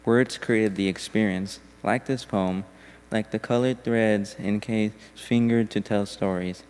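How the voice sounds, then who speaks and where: A man reading a poem aloud during a church service in a steady, deliberate way. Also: fairly low pitch, smooth. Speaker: congregation member reading a poem. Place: church sanctuary. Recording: long hall reverb.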